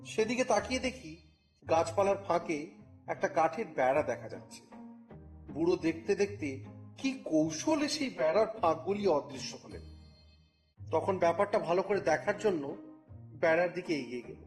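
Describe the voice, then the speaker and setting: A man narrating a story in the first person, with expressive, theatrical phrasing and a scripted dramatic style. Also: a little high, normal speed, pauses often. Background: music.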